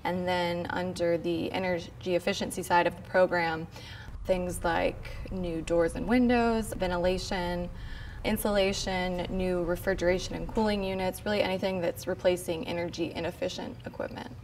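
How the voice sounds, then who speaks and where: Flat-voiced young woman explaining programs. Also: on the low side, moderate pace, a little hiss or hum. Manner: steady, matter-of-fact explaining. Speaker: program official. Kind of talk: interview. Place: indoors in a barn or shed.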